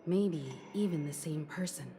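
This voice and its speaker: An actress playing a calm, shrewd, courteous leader in a video game, speaking in a measured, diplomatic and guarded way. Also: female voice, deep voice, a little hiss or hum. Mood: calm, wary. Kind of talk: scripted game dialogue.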